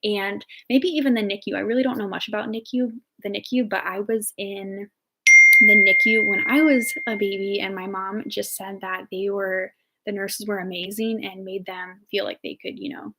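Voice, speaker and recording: Young woman nursing student rambling thru viewer q&a, lots of likes and ums, normal pitch. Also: fairly even intonation, normal speed, sharp tone, poor audio. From Ohio, United States. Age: 20s. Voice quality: chatty, filler-heavy, upbeat. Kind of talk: casual chat answering viewer questions.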